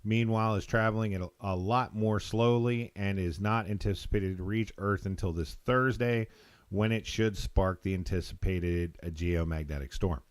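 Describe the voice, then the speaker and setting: An American man hosting a live call-in show, talking casually in a rambling, halting, informal way. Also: fairly low pitch, smooth. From Texas, United States.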